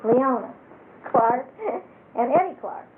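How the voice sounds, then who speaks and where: A woman's voice: fairly high pitch, takes it slowly. A woman conducting a casual interview, chatty and hesitant with frequent fillers. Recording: tinny lo-fi home tape with hiss.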